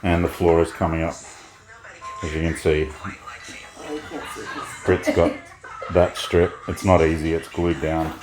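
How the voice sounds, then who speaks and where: Slow, low-voiced man, loud music. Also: flat delivery, rough recording. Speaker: vlogger. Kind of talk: casual vlog chat. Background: radio music.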